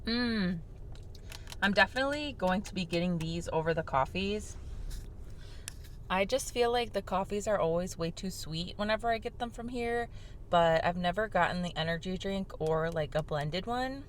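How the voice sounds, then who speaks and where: A young woman hosting a casual vlog, chatting softly with lots of fillers while sipping a drink, her voice slightly hoarse. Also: medium pitch, moderate pace, slight background noise. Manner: talking softly on purpose, filler-heavy and rambling. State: slightly hoarse from shouting the day before. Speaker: vlog host. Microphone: mic without windscreen.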